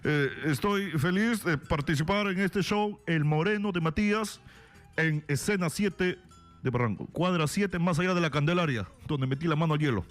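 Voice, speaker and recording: Man doing a halting, high-pitched grandiose public man. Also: faint music behind, natural voice. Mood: halting, promotional. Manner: halting, stammering, theatrical.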